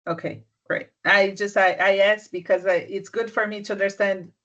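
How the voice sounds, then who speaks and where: A mentor talks through workplace advice on a video call, gently and with frequent restarts. The voice sits in a middle pitch, and the call audio comes through a little dull and muffled. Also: fairly even intonation, normal speed. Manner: soft-spoken, restarts often, checks understanding. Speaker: business mentor. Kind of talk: advice in a live online session.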